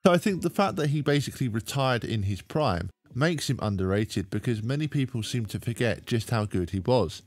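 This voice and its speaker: A man hosting a sports video, giving a measured, explanatory scripted narration into a close microphone. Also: mid-range voice, animated, dry recording. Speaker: host of a bowling video channel. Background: background music.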